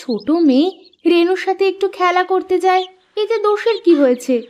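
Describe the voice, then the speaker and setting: A woman's voice: very high-pitched, animated, brisk, a little mellow, a little hiss or hum. A woman playing a caring, reasonable village wife and mother in a cartoon fairy tale. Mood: gently reasoning.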